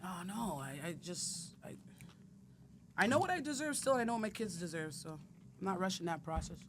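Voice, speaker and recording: A woman's voice: fairly low pitch, sing-song, a little fast, a little hiss or hum. A woman, a guest in a casual podcast chat, blunt and outspoken. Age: 30s.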